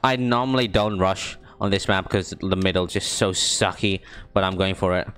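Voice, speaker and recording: A young man casually talking to himself while streaming a video game, rambling and joking, with high yelps in fights. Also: level tone, normal speed, a bit bright, smooth. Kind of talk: casual gaming stream commentary.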